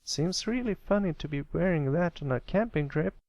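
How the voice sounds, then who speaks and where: A man's voice: fairly high pitch, animated, normal speed, a little mellow, no echo, muffled recording, voice pushed up. A man voicing a plucky, matter-of-fact young filly pony with a drawl in a read-aloud story. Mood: teasing.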